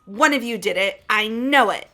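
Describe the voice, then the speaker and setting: A woman playing a grief-stricken, hot-tempered teenage girl in a fan-voiced game, emphatic and halting. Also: on the high side, bright, forceful tone, faint music behind. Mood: bitter anger.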